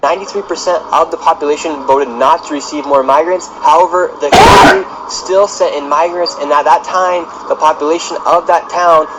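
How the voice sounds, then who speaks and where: A man's voice: on the high side, normal speed, few pauses, a loud crowd, rough recording. A man interviewing people in the street, asking direct, leading questions.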